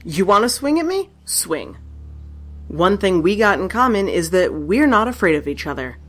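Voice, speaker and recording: Casting: woman voicing a brash, cynical woman scavenger, mid pitch, foul-mouthed. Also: sing-song, moderate pace, a bit bright. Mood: confrontational. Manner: blunt, sarcastic, profane. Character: tough sardonic woman survivor, Tessa. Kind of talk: fan audio drama acting.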